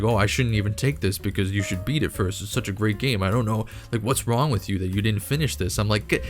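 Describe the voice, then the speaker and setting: A man hosting a video channel, chatting casually in a rambling, jokingly incredulous way with frequent self-interruptions. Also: lowish voice. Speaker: YouTube cartoon channel host.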